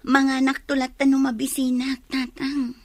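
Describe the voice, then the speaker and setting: A woman's voice: high voice, monotone, normal speed, no room sound. An actress playing a despairing young pregnant woman full of regret in a radio drama, with a plaintive manner. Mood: listless.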